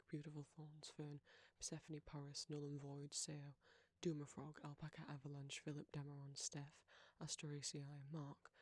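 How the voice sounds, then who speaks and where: A soft-spoken man, an audio creator, reading a scripted outro and thank-you list in a measured way. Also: a little high.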